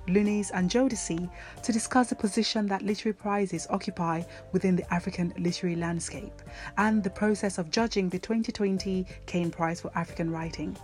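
A woman's voice: mid-range voice, a little fast, darkish tone, faint music behind. A woman hosting a podcast, moving between scripted introduction and informal interview chat. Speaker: podcast host.

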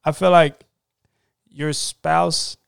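A man co-hosting a podcast, asking questions in a supportive way with quick asides and filler words. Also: fairly high pitch, little pitch movement, creaky, smooth, no echo, clean studio sound. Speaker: podcast co-host. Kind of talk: casual podcast chat.

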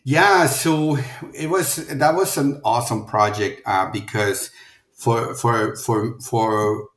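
A man's voice: medium pitch, slow pace, fairly bright tone, echoey room. A middle-aged man from Mexico with a Mexican accent, a film producer talking casually in an interview and rambling through many restarts and fillers.

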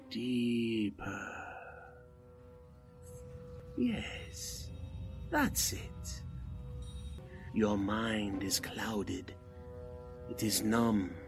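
An actor playing a crooked cult leader and fake medium in a 1940s-style radio drama, formal and theatrical in manner. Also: male voice, fairly low pitch. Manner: formal, theatrical, commanding or pleading.

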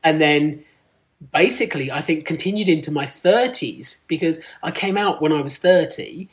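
A man's voice: on the high side, normal speed. Laughing, chatty man in his forties. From Kent, England. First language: Punjabi. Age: 40s. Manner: laughs often, lively storyteller. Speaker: guest. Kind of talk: casual interview chat.

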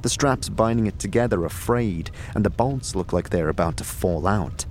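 A man's voice: lowish voice, moderate pace, soft background music. A man narrating a scripted fantasy audio drama in a dramatic storytelling manner.

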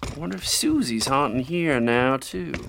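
A young Southern rogue, cocky yet brooding, coaxing in a teasing drawl. He has a middling pitch, in a 1930s-style radio drama with faint noise behind. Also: animated, normal speed, vocal fry, a bit bright. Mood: wistful, eerie. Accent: American South. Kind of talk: old-time radio drama acting.

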